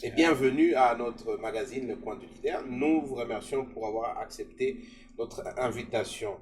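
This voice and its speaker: A man's voice: medium pitch, moderate pace, crowd noise. A man from DR Congo hosting a leadership show, speaking in formal, rhetorical phrasing. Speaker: podcast host.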